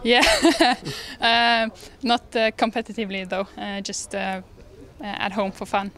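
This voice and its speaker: A woman's voice: on the high side, flat delivery, unhurried, a bit bright. A young woman from Norway with a Norwegian accent, answering interview questions casually in a hesitant, good-humoured way. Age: young adult. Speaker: ski jumper being interviewed. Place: large indoor lobby. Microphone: handheld reporter microphone.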